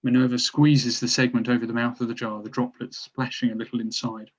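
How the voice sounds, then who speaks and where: Mid-pitched male narrator, slightly dull sound. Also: level tone, a little fast. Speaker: narrator reading stage directions. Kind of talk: scripted narration at a table read.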